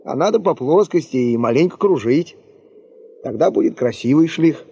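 Highish, mellow folksy camp hand. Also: male voice, lively intonation, normal speed, breathy edge. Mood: teasing, knowing. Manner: folksy, colloquial, teasing. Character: folksy, nosy, know-it-all gold panning camp hand. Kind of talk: 1970s Soviet radio play acting. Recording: dull 1975 radio play recording.

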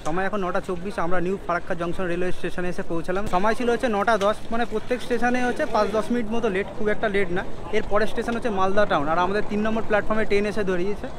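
A young man talks very fast and in a high voice, filming himself at arm's length. He chats casually about the train ride and runs through the coming stations. Clear train and platform noise hums behind him. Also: little pitch movement. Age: young adult. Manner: chatty, lists upcoming stations. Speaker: travel vlogger. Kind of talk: casual travel vlog narration. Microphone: handheld camera at arm's length. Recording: noisy train and platform sound.

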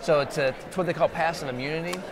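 A middle-aged man with a slightly raised voice chats loosely at a trade show booth, doubling back on his words with "uh" and "um." A lapel mic picks him up clearly while a steady crowd murmurs behind him. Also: a little high, fast. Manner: relaxed, loose, many fillers and restarts. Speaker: exhibitor guest. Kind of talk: casual interview. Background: crowd chatter.

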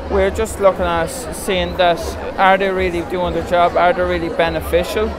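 A man's voice: high voice, level tone, moderate pace, a crowd in the background, poor audio. A teenage boy with an Irish accent describing his project hesitantly. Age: teens. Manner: hesitant, plain spoken. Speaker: student exhibitor.

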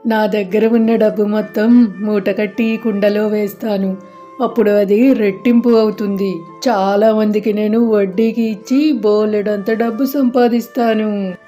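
A woman's voice: mid-range voice, fairly even intonation, measured pace, warm tone, soft background music, close to an everyday voice. A woman playing a greedy, suspicious male moneylender with a prying, scheming manner in a children's cartoon story.

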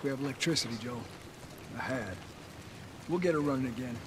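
A man playing a warm, folksy settlement man, someone's younger brother, with an American Southern accent in a video game drama. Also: mid-range voice, fairly quick, noisy background, natural voice. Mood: easygoing. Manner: folksy, informal, swearing.

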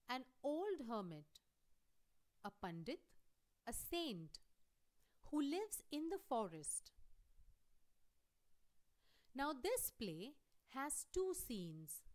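A woman teacher reading a play aloud for a lesson, deliberately, in short explained phrases. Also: high-pitched. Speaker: English teacher.

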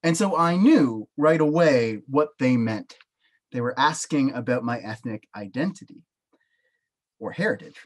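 A man's voice: mid-range voice, lively intonation, unhurried. Earnest Canadian man on a video call. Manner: earnest, emphatic, gestures with both hands. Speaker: panelist, professor. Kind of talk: personal testimony on a video call. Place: small home office with bookshelves. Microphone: webcam on a video call.

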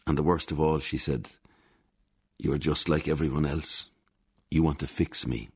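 An actor playing a defeated ex-husband in a radio drama monologue, measured and rueful as he confides. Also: extremely low pitch, fairly even intonation, darkish tone, husky, heavily acted.

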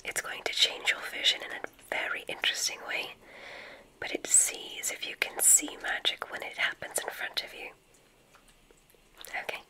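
A woman whispering in a breathy, gentle way, playing a gracious witch dean in an ASMR roleplay. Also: poor audio. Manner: gentle, drawn-out, effusively complimentary. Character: gracious, welcoming witch dean of a magic school.

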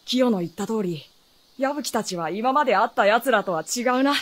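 Boyish kid who knows old secrets, guarded, highish lively voice, radio drama. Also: female voice, normal speed. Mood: matter-of-fact. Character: guarded, knowing adolescent boy wanderer in Japanese fantasy. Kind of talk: Japanese radio drama acting.

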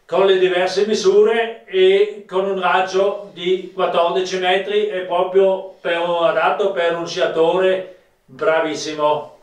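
A man in his fifties with a South Tyrolean accent and a high voice presents products slowly and plainly, halting now and then. The sound is roomy, as if picked up across a room. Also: level tone, distant mic. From South Tyrol, Italy. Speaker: ski maker presenting own products. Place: small wood-panelled rooms of an old farmhouse.

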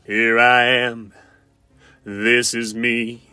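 A man's voice: mid-range voice, level tone, extremely slow, sharp tone, smooth, no echo, pitched below the performer's own voice, exaggerated voice. A man voicing a cocky, flirty, goofy wisecracking survivor for fan-made video game lines, in a playful, theatrical, quippy manner. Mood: musing, deadpan. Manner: playful, theatrical, quippy, often sing-song.

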